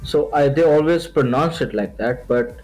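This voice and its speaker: A man's voice: a little high, moderate pace, darkish tone, faint music behind, rough recording. A man who is not a native English speaker, co-hosting a live stream, chatting casually and joking with viewers and his co-host. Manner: casual, joking, says bro a lot. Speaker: livestream co-host.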